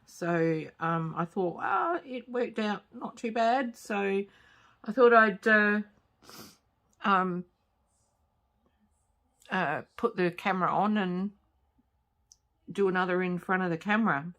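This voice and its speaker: Slow, croaky woman crafting at home. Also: medium pitch. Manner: hesitant, many fillers, soft-spoken. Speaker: crafting channel host. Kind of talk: casual craft tutorial. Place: home craft table, late at night.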